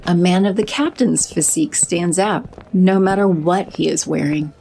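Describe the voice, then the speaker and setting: A woman giving even, descriptive scripted narration for an audio drama. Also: fairly low pitch, normal speed, creaky, slight background noise, low-quality recording.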